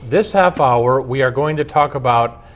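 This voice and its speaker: Pastor host, male, mid-pitched, brisk interviewer. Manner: brisk questioner, frequent short acknowledgments. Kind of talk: interview talk show.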